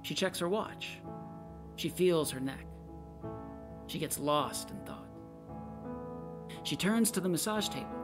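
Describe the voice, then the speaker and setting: Low-voiced woman narrating over music. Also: lively intonation, slow, pauses often. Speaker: narrator reading stage directions. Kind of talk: scripted narration.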